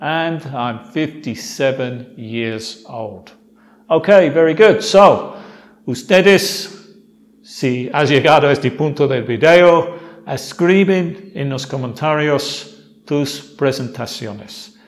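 A man in his fifties from England, teaching English and pronouncing model phrases slowly and deliberately, recorded indoors on a lapel mic. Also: mid-range voice. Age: 50s. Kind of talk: language lesson explaining in Spanish. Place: indoor room with a motorcycle behind.

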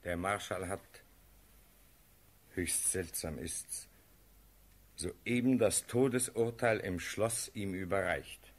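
An actor playing a prince's loyal, anxious friend in a radio play, speaking verse in an earnest, measured, husky voice. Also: male voice, low voice. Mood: grave.

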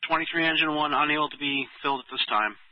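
A man's voice: on the high side, fast talker, dull audio, a little hiss or hum. A man working as a fire dispatcher, giving clipped, formal, procedural announcements and read-backs over emergency radio. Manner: procedural, reads units back. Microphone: dispatch radio transmission.

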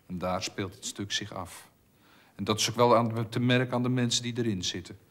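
A middle-aged man, a theatre director, talking thoughtfully and haltingly into a lapel microphone. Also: fairly low pitch. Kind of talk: interview talk and rehearsal feedback. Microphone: clip-on lapel microphone.

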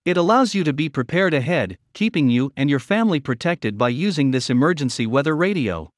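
Man narrating products, lively pitch. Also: a little fast, no echo, studio quality. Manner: reading from a script. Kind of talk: scripted promotional voice-over narration.

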